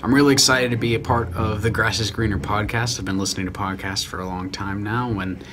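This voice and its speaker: A man in his twenties introducing his role, friendly and slightly halting, recorded on a phone. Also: lowish voice, fairly even intonation, noisy background. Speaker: voice actor. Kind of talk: cast self-introduction.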